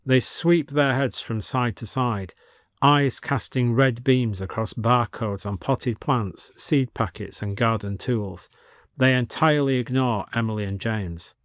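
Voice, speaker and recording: A British man narrates a scripted story. His voice is medium in pitch and recorded very dry, with no room around it. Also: no room sound.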